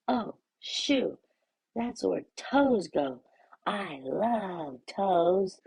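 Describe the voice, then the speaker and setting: A sweet little girl monster from a storybook, played by a man, speaks in a small, high, husky voice. The delivery is childlike, halting and swoops up and down. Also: animated, darkish tone, full character voice. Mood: innocent, delighted.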